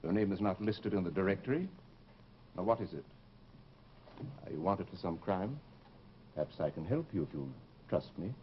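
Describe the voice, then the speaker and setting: An actor playing a firm, polite plastic surgeon in an old-time radio horror drama, speaking in a formal, measured way. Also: male voice, deep voice, expressive. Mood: probing, concerned. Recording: muffled, noisy old radio recording.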